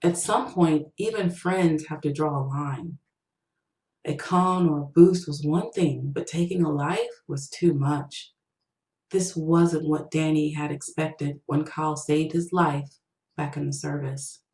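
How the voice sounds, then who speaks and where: Female story narrator, low register, author reading own fiction, recorded in an echoey room. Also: steady tone, slow pace, a little mellow.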